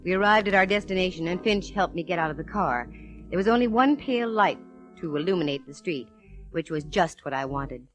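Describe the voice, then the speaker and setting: An American actress playing a sharp, plucky female private eye in a 1940s radio detective drama. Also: lowish voice, expressive, fairly quick, natural voice, low-quality recording. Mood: cool, confident. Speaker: lead actress and narrator. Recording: vintage radio broadcast with music.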